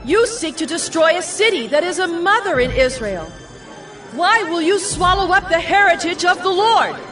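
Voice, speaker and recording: Actress as high-pitched, impassioned wise city woman. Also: lively intonation, moderate pace, fairly bright tone, recorded from a distance, soft background music. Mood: impassioned pleading. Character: wise woman of a besieged city, bold, pleading. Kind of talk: dramatized scripture acting.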